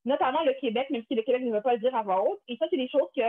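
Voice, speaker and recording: A woman's voice: high-pitched, very fast, slightly rough. A young woman from Quebec with a Québécois accent, speaking earnestly on a panel, restarting and repeating words often. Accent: Québécois French. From Canada, Quebec. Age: young adult. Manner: earnest, many restarts and repetitions. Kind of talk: panel discussion, personal testimony. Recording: tinny phone-like line.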